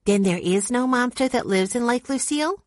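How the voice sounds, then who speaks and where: A woman voicing a shy young girl who speaks softly. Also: a little high, expressive, normal speed, no room sound, clean studio sound, pitched above the performer's own voice, natural voice. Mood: timid. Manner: soft, shy. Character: timid, soft-spoken young girl.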